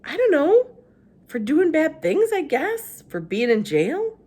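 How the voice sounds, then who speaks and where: A woman's voice: sits high, wildly swooping pitch, takes it slowly, warm tone, voice pushed up, full character voice. A woman voicing a feisty, earnest young girl who talks in a blunt, childlike, folksy way. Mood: earnest, uncertain.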